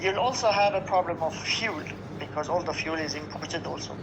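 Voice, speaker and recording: A man's voice: a little high, moderate pace, creaky. A Lebanese man who runs a restaurant, answering a news interview in an earnest, weary way with short restarting phrases. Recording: dull lo-fi line with noise.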